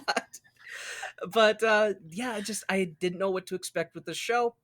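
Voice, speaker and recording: A man's voice: high voice, a little slow, fairly bright tone, no room sound, studio quality. A man hosting a podcast, chatting casually in a rambling, jokey way. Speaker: podcast host. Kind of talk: casual chat.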